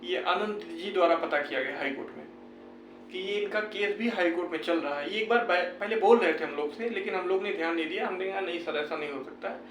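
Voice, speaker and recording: An earnest man with a north Indian accent from Uttar Pradesh speaks in a high-pitched voice, informally explaining an update to viewers. He repeats phrases to press his points. The recording is roomy and echoing, picked up at some distance from the mic, with music playing behind him. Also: fast, fairly bright tone. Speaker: YouTube host giving exam recruitment updates.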